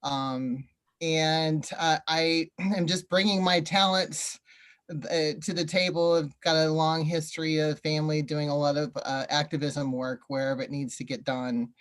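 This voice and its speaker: A woman from northern California speaks with an American accent. Her pitch moves within a narrow, even range. She talks informally, as a new member introducing herself over a video call. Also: unhurried. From northern California, USA. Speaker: new commissioner. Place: online video meeting.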